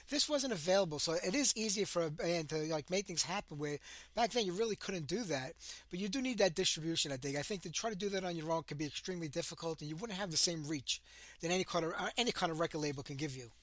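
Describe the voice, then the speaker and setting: A man's voice: a little high, quick pace, fairly bright tone, no echo. A man with a Brooklyn accent hosting a radio show, chatting casually with a guest in a rambling, laugh-prone way. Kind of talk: casual interview chat.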